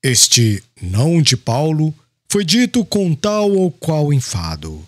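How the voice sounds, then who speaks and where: A man's voice: on the high side, very animated, slow pace, dry recording, clean studio sound. A man narrating a religious audiobook in a solemn, formal storytelling style. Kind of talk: scripted literary religious narration. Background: glockenspiel-like music.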